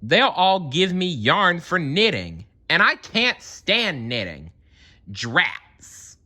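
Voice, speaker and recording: A man's voice: fairly high pitch, very animated, takes it slowly, sharp tone, voice pushed up, full character voice. A man voicing an elderly female unicorn from a storybook, wistful and fretful, with sighs and exclamations. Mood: grumbling, dreading.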